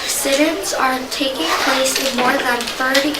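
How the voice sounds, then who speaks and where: A woman's voice: sits high, steady tone, unhurried. A female student performer reading a readers theater script aloud. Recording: very noisy echoey room, distant mic.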